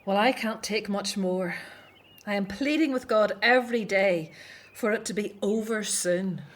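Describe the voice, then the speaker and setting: A woman's voice: mid-range voice, a little slow, lightly acted. A woman acting in a radio drama as a caring, anxious, devout Hebrew elder sister, speaking earnestly and expressively. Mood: weary, pleading.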